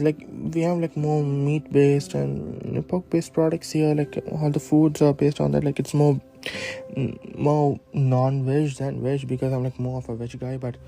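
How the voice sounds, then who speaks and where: Young Indian man, mellow podcast voice. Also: mid-range voice, vocal fry. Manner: rambling, full of "like" and "you know" fillers. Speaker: podcast host. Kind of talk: casual solo podcast monologue. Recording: faint guitar music behind.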